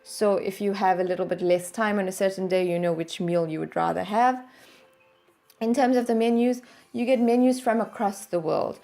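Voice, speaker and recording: A woman hosting a channel, talking through a product review in a steady explanatory way with some fillers. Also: mid-range voice, normal speed, vocal fry. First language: English. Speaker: channel host reviewing a service. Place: home in Norway.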